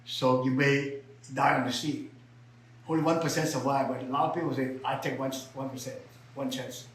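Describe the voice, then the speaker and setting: An older man from Vietnam with a Vietnamese accent tells his life story in halting, broken English full of repeats, sometimes rising to emphatic outbursts. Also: mid-range voice, fairly even intonation, roomy sound, poor audio. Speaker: interviewee. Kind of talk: personal storytelling.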